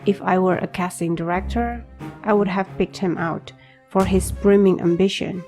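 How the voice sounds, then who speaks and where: A woman narrating an audio drama in the first person as an anxious young protagonist, grave, measured and introspective. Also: lowish voice, steady tone, over music, lightly acted. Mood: wry, uneasy. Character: anxious young reader, inwardly narrating an apocalypse.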